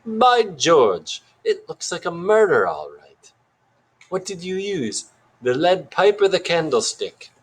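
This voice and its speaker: A man voicing an eccentric schoolboy who plays a pompous detective in a theatrical, drawn-out mock British accent. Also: high voice, big pitch swings, husky, voice pushed up, heavily acted. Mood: mock dismay.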